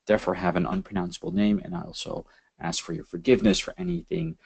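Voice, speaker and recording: Dutch guy giving a webinar, kinda low and gravelly, lots of uhs and ums. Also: big pitch swings, a little mellow. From Netherlands. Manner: halting, many fillers and repeated words. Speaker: webinar presenter, systems engineering lead. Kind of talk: webinar presentation over slides.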